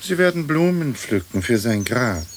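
A man's voice: mid-range voice, big pitch swings, normal speed, natural voice. Haughty old ghostly lord played by a man, ornate and pompous, wryly melancholic, radio drama with tape hiss. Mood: wistful. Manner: pompous, ornate aristocratic speech. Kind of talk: German radio play acting. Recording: hissy old radio play recording.

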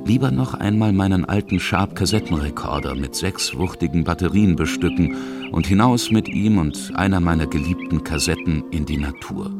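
Very deep male narrator over music. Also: lively intonation, normal speed. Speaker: narrator and feature author. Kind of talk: scripted radio feature narration.

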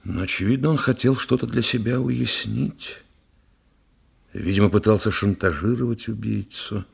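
An actor playing a thoughtful investigator in a radio play, measured and reflective, with a gravelly, husky voice. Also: male voice, fairly low pitch, heavily acted. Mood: pondering. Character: thoughtful investigator pondering a theatre murder. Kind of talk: dramatic radio play dialogue.

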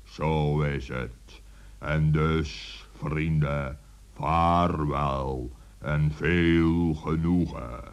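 An actor playing a kind, dignified giant in a children's radio play, solemn and measured. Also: male voice, extremely low pitch, steady tone, muffled recording, heavily acted. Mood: solemn, kindly.

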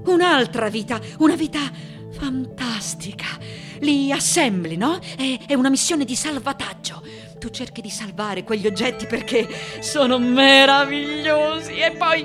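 An actress playing a passionate, eccentric woman artist in a theatrical, emphatic radio monologue. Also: high-pitched. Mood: tender wonder. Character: passionate, eccentric woman sculptor reminiscing about New York.

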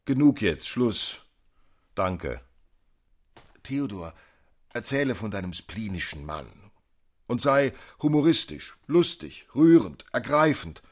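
An actor playing an impatient, theatrical member of a literary circle in a radio drama, pleading emphatically. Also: male voice, lowish voice, big pitch swings, slow, pauses often, husky, exaggerated voice. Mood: exasperated pleading. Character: impatient, theatrical literary club friend, exasperated by madness.

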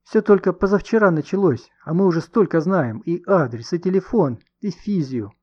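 A man reads as an excitable storybook boy playing detective. The delivery is animated and colloquial, lifted in pitch, with slightly muffled sound. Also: normal speed, slightly rough. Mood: excited.

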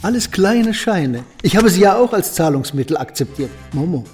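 A man in a radio play playing a kindly, stubborn elderly shopkeeper with a wry, deliberate manner. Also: high voice, very animated, darkish tone, soft background music. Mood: proud, indignant. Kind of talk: German radio play acting.

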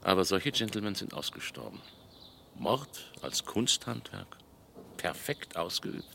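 An actor playing a polite, methodical police inspector who asks probing questions in a German radio crime drama. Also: male voice, low-pitched, very animated, a little slow, gravelly, sharp tone, slight background noise, heavily acted. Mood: probing, dry.

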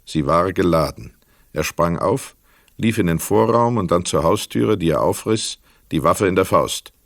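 A man narrating a literary crime radio play as a sober storyteller, measured, precise and dramatic. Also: sits low, expressive, vocal fry, dry recording, clean studio sound, exaggerated voice.

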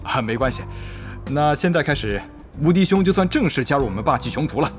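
男演员饰演多疑而沉稳的公会会长. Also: male voice, a little high, expressive, quick pace, soft background music, close to an everyday voice. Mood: politely probing. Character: wary, calculating male online game guild leader. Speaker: voice actor. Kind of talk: Chinese audio drama acting.

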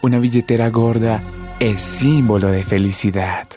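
A man's voice: lowish voice, steady tone, normal speed, mellow, lightly acted. A man playing a mischievous, materialistic Cupid in a radio comedy sketch, with a Colombian accent and a teasing delivery. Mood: smug. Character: mischievous, materialistic male Cupid pushing his love arrows. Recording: music behind the voice.

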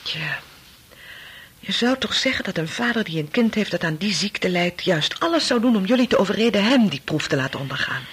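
A troubled, insistent wife in a Dutch radio drama. Her voice is very husky and fairly natural. She hesitates, repeats herself and keeps probing. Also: medium pitch, lively intonation, fairly quick, a bit bright. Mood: brooding. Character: anxious, probing wife of a doctor, brooding and insistent. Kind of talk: Dutch radio play acting.

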